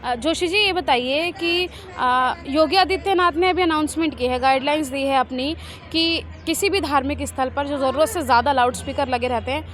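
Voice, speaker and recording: A woman's voice: sits high, normal speed, fairly bright tone. A woman reporter speaks. She sounds conversational as she puts questions to members of the public, out on a city street. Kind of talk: vox pop interviewing. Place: outdoors on an urban street.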